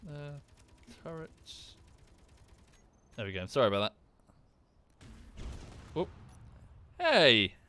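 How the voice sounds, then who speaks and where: Lively excitable man gaming with friends. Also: a little high, drawn-out words, pauses often. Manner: excitable, swears and laughs at explosions. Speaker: streamer. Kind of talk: casual gaming chat with friends.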